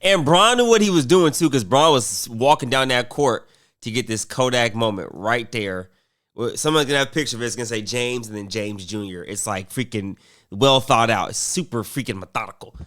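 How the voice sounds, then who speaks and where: A man's voice: mid-range voice, moderate pace, fairly bright tone. A man commentating casually on basketball, rambling with fillers and restarts while getting over a cold.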